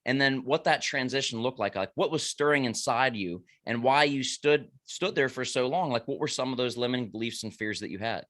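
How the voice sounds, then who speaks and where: Host: man, mid-pitched, upbeat and emphatic, preacherly real-talk delivery. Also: a little fast, hardly any pauses, a bit bright. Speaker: podcast host. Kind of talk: casual interview.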